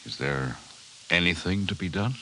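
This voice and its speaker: An actor playing an authoritative president in 1950s radio drama style, formal and deliberate. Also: male voice, low voice, animated, sharp tone, heavily acted, low-quality recording. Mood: stunned. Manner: formal, deliberate, weighty delivery. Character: authoritative, decisive male president of a nation. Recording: hissy 1950s radio recording.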